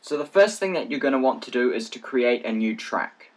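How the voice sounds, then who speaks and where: A man presenting a software tutorial in a step-by-step narration. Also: medium pitch, animated, brisk. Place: indoor voice-over for screen recording.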